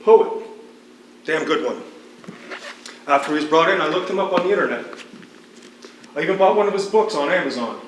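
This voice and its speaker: A man plays a hospital doctor in a stage drama, speaking plainly with wry sympathy. His voice is fairly high and comes echoing from some distance, with faint noise. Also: lively intonation, a little fast, long pauses, lightly acted. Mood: matter-of-fact. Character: matter-of-fact male hospital doctor, wryly sympathetic. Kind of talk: naturalistic stage dialogue.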